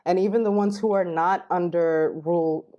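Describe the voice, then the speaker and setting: A woman from Queens, New York, talking as a podcast guest and linguistic anthropologist, explaining at length in a fluent, relaxed way with many fillers. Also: on the low side, moderate pace, muffled recording. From Queens, New York, United States. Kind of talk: casual interview.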